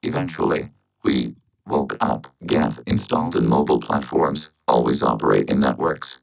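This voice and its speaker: A very deep, gravelly robot. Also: male voice, heavily acted, low-quality recording. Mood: measured, detached. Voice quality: synthetic, machine-like. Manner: measured, clipped, emotionless, formal phrasing. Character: calm, logical networked robot speaking as a collective. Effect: robotic voice processing.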